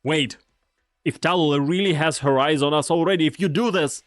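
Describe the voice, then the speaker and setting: A man in a fan dub of a game, playing a weary, guarded mercenary with a grave, measured, commanding delivery. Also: on the high side, lightly acted. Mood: urgent. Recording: long reverb on the voice.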